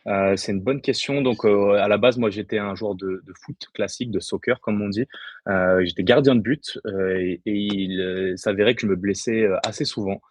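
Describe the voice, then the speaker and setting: A man's voice: on the low side, steady tone, moderate pace, dry recording. A man in his twenties from the Paris region, chatting casually as an interview guest. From Paris region, France. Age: 20s. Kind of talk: casual chat.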